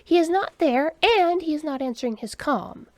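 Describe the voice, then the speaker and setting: Woman doing a shrill, commanding female alien officer. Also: expressive, normal speed, dry recording. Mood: stern. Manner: shrill, clipped and demanding. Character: stern high-ranking female alien officer.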